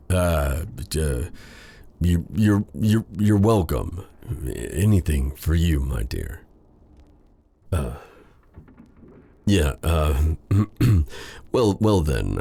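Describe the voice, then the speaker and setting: A man playing a feared dark sorcerer with a tender side, in a courtly, romantic fantasy ASMR roleplay style. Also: very deep voice, creaky, breathy edge, heavily acted. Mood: flustered, tender. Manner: courtly, formal phrasing with endearments. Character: feared dark sorcerer, tender and flustered toward his captive. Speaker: voice actor in audio roleplay.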